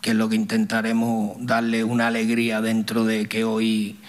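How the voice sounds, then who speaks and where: A man football coach speaking in a hesitant, halting way. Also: lowish voice, monotone, clean tone.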